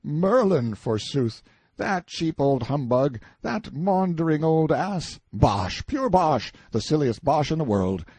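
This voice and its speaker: A man's voice: mid-range voice, big pitch swings, unhurried, darkish tone, slightly rough, dry recording, pitched above the performer's own voice, full character voice. A man playing a brash, self-assured man stranded in a medieval court, blunt, emphatic and colloquial, in an audiobook story. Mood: scornful.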